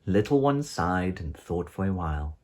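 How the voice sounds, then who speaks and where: A woman reading a rhyming children's storybook aloud as narrator, theatrical and deliberate. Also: rumbling low, big pitch swings, a little mellow.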